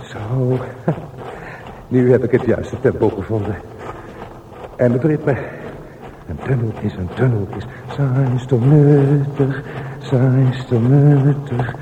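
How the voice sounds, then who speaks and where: An actor playing a solitary, obsessive aging man in a radio drama, talking to himself in halting, repetitive phrases. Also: mid-range voice, fairly even intonation, narrow, tinny audio, close to an everyday voice. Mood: self-absorbed musing. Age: older. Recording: roomy echo with faint noise.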